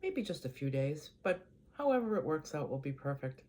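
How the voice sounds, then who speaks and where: A woman's voice: low voice, big pitch swings, moderate pace, slight background noise. Older woman with a Boston accent. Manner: rambling, easygoing, voice often trailing off quietly. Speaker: vlogger. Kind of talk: casual chat to camera.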